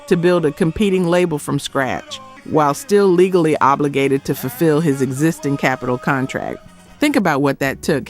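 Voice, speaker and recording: A man delivering scripted documentary narration in emphatic short phrases with dramatic pauses. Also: fairly high pitch. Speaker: narrator of a music history video. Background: background music.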